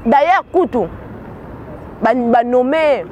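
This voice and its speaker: A woman's voice: high-pitched, lively intonation, slow, warm tone, highs cut off, slight background noise. A woman from Kinshasa with a Congolese accent giving earnest spoken commentary, often repeating words as she searches for them. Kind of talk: spoken commentary mixing Lingala and French.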